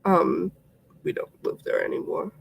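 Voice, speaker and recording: A man's voice: sits high, wildly swooping pitch, moderate pace, gravelly, a little hiss or hum. A sick, congested young man chatting casually while getting ready on a home livestream, rambling and often slipping into a whisper. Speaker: vlogger.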